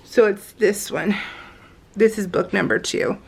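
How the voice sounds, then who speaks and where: A woman's voice: medium pitch, measured pace, vocal fry, breathy edge. A middle-aged woman chatting casually over a craft video, gushing and slangy, telling stories with plenty of exclamations. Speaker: craft vlogger.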